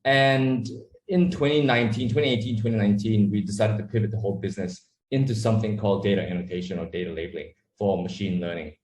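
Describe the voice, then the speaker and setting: A man who was born in Malaysia and raised in Australia, a startup founder answering interview questions in a relaxed, rambling way with many fillers. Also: on the low side, quick pace, slight background noise. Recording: echoey room.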